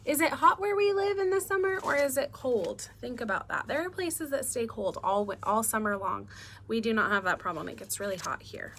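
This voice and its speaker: A woman's voice: fairly high pitch, animated, a little fast, a bit bright, slight background noise. A woman teacher doing a read-aloud lesson for young children, instructive and often asking them questions. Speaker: elementary school teacher.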